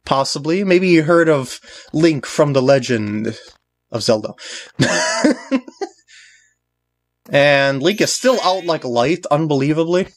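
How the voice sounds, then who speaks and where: Animated man doing jokey game commentary. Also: a little high, takes it slowly, long pauses. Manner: jokey, rambling, lapses into silly voices for characters. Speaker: video game walkthrough commentator. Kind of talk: casual gameplay commentary. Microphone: voice recorded on a compact camera's microphone. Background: video game music.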